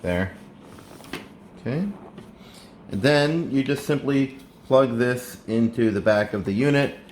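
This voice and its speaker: A man narrating a casual hands-on how-to, explaining step by step in a halting way, recorded indoors. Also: mid-range voice. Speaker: presenter of a how-to video. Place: indoors, living room at a table. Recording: clear background room noise.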